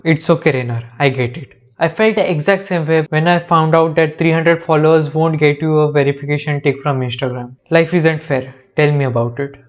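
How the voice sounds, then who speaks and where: A man's voice: a little high, fairly even intonation, a little fast, hardly any pauses, muffled recording. A young man with an Indian accent, recapping a TV show with sarcastic, jokey asides. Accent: Indian English. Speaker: reaction video host. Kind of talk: comedic recap narration.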